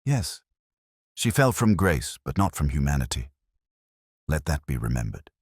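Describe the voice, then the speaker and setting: Calm, principled Victorian detective played by a male narrator: very deep, grave, expressive in pitch, for storybook reading. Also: unhurried, breathy edge, no room sound, clean studio sound, exaggerated voice. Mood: soft, solemn.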